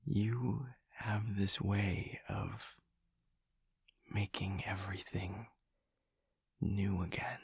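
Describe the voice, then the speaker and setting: A man playing a gentle, devoted vampire lover in an intimate audio roleplay, speaking in a breathy, husky hush with slow, halting, tender pauses, close to the microphone. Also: fairly low pitch, dry recording, full character voice. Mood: adoring. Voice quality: breathy, husky, often whispering. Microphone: close microphone, dry.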